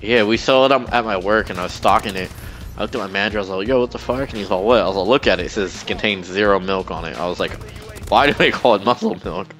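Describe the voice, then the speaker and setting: A man's voice: lowish voice, fast, a bit bright. A young man hosting a live shooter game session, bantering crudely and teasing his friend through a headset. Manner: brash, swearing, teasing. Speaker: channel host playing online shooter. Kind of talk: crude casual gaming chat. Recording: game music and gunfire behind.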